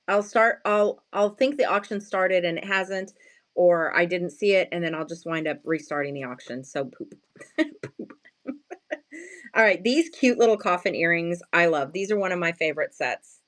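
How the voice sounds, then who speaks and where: A woman with a slightly hoarse, just-woken morning voice, chatting casually and playfully as she sells handmade crafts on a livestream, often addressing viewers and poking fun at herself. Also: on the low side, normal speed, a bit bright. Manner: self-deprecating, playful slangy wording. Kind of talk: casual live sales chat with viewers.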